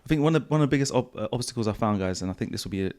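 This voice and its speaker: A man hosting a podcast in a casual, relaxed way, with a croaky voice and slangy, repetitive phrasing. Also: fairly low pitch, very fast. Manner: relaxed, repeats himself, slangy. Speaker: podcast host. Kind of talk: casual podcast chat answering viewer questions. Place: home studio.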